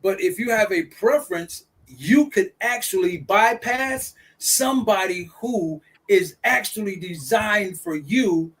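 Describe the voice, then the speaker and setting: A man holds forth in an easygoing discussion. His voice sits high for a man. He circles back and repeats his key phrases with a preacher's rhythm. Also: a little slow, hardly any pauses, a bit bright, slightly rough, low-quality recording. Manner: preachy, repeats points for emphasis. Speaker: guest speaker. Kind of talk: casual discussion.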